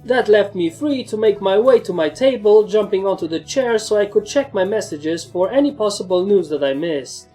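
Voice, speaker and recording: A woman's voice: medium pitch, animated, moderate pace, few pauses, mellow, soft background music. A woman narrator reading a scripted first-person fantasy story.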